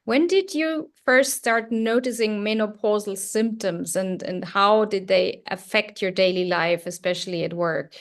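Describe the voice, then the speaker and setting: A woman's voice: medium pitch, measured pace, hardly any pauses. A woman co-hosting a webinar panel, asking friendly, slightly hesitant questions.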